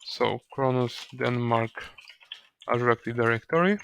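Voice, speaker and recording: Bright, clear-voiced man giving halting software tutorial. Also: mid-range voice. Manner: halting, hesitant delivery with repeated words. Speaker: software trainer. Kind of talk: step-by-step software tutorial narration.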